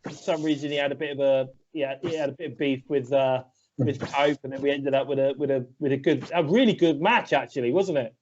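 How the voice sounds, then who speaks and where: A man's voice: medium pitch, fairly even intonation, a little fast, darkish tone, slight background noise. A British man with a cold, co-hosting a casual wrestling chat, rambling and restarting his sentences. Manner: rambling, hesitant, full of restarts. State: bunged up with a cold. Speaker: podcast co-host. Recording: dull lo-fi sound.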